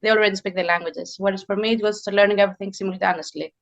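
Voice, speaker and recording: A woman in her forties from Greece, a native Greek speaker, chatting as a guest author in an interview and pausing often to think. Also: mid-range voice, fairly even intonation, gravelly, muffled recording. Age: 40s. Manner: thoughtful, frequent hesitations. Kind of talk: casual interview chat.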